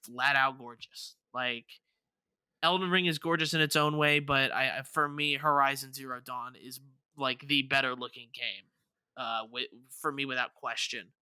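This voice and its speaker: A man's voice: medium pitch, steady tone, moderate pace, pushed and bright, clear, resonant tone, no echo. A man co-hosting a gaming podcast, chatting casually and circling back over his words as he talks. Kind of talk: casual chat about video games.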